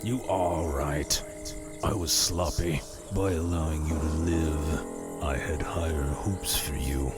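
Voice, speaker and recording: An extremely low-voiced ghost of an old killer speaks slowly over loud music, taunting and accusing. He is a menacing mentor in an audio drama. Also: steady tone. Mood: grave, disappointed. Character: dead murderous mentor haunting his former pupil, menacing, taunting.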